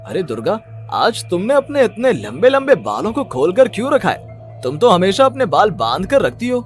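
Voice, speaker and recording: A man's voice: high-pitched, sing-song, normal speed, soft background music, voice pushed up. A man voicing an anxious young husband and son in melodramatic cartoon story dialogue. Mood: uneasy.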